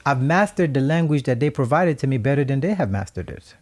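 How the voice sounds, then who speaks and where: A Haitian man co-hosting a radio talk show, speaking reflectively and with emphasis, sometimes becoming animated and laughing as he tells stories. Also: medium pitch, a little fast. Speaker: co-host.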